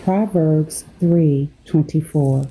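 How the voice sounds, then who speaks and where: Low, slow, mellow woman guiding sleep meditation. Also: noisy background. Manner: unhurried, measured delivery with pauses between phrases. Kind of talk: guided sleep meditation with scripture reading.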